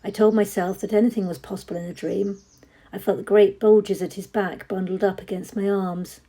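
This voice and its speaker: A woman narrating a story expressively, with dramatic, measured phrasing that is often hushed. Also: medium pitch, level tone. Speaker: story reader. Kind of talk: expressive audiobook-style narration.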